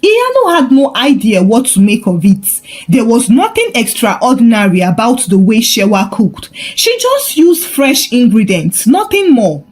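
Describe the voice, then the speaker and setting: Female folktale narrator: unhurried, scripted storytelling with a fairly high, animated voice. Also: breathy edge.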